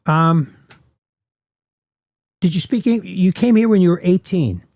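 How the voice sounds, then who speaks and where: A man's voice: sits high, a little slow, darkish tone, dry recording, clean studio sound. A man hosting a podcast who chats casually and keeps asking his guest questions. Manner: curious, asks many questions. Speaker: podcast host.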